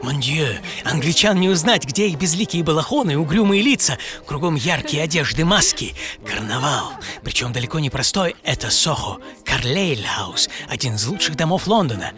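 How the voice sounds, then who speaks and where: An actor playing a vain, excitable young Belgian inventor in an audio play, theatrical and boastful, dropping French phrases into his monologue. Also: a little high, natural voice. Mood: confiding, intimate. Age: 20s. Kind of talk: dramatized first-person monologue in an audio play. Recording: studio voice over music.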